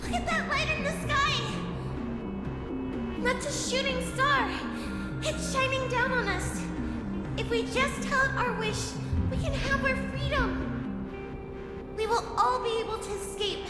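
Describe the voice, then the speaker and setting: A woman's voice: extremely high pitch, a little slow, pauses often. A woman voicing a desperate but hopeful young child on the run in a video game, urgent and earnest. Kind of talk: dramatic game voice acting. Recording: echoey hall reverb, loud music. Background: loud dramatic music.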